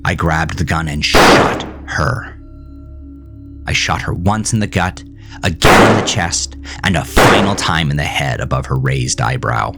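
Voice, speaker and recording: A man narrating a horror story in the first person, with a somber, deliberate, dramatic delivery. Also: very deep voice, creaky, fairly bright tone. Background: music.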